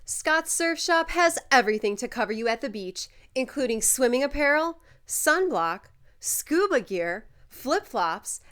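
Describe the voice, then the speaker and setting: Higher-voiced woman doing polished promo narration. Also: a bit bright, clean tone. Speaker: voice-over artist. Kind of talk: scripted commercial and promo narration.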